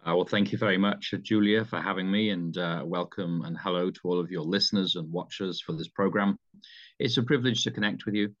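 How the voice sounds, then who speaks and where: A low-pitched British man in his fifties speaks as a pastor in an interview. He talks in a measured, halting way, full of ums and restarts. The recording is slightly dull and lo-fi. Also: fairly bright tone. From United Kingdom. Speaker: pastor, mission leader. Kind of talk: interview answers.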